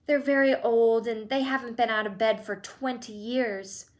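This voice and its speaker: A woman's voice: on the high side, fairly even intonation, moderate pace, close to an everyday voice. Woman doing an eager young boy.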